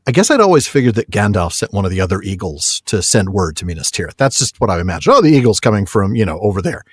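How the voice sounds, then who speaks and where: A man's voice: lowish voice, very animated, fast talker, husky, dry recording. A man co-hosting a podcast, talking casually and with effusive enthusiasm about a book. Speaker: podcast co-host.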